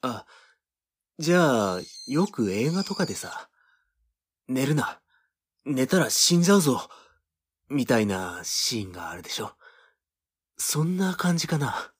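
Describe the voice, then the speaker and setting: A man's voice: medium pitch, very animated, a little slow, pauses often, breathy edge. An actor playing a mild, easygoing young man in a Japanese audio drama, speaking in a hesitant, musing way. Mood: musing, puzzled.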